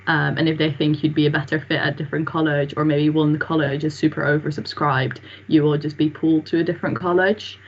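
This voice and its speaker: A woman's voice: low voice, little pitch movement, fast, few pauses, a little hiss or hum. A young woman from the Netherlands, a student, chatting with many fillers on a video call from a room at home. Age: young adult. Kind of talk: casual Q&A answers on video call. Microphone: laptop webcam mic on video call. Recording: dull lo-fi video call audio.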